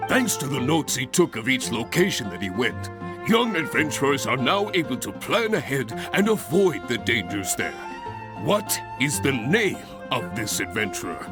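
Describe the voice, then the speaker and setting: An actor playing a hearty, encouraging guild examiner in a video game, with a jovial, theatrical manner. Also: male voice, mid-range voice, sing-song, normal speed, music in the background, lightly acted. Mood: instructive, theatrical. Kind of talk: video game character acting.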